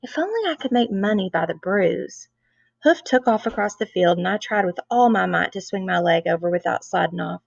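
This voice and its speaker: A woman reading a children's novel aloud in an expressive, animated storytelling style. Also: mid-range voice, creaky, no echo. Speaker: read-aloud reader. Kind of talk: expressive children's book narration. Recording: dull, lo-fi close recording.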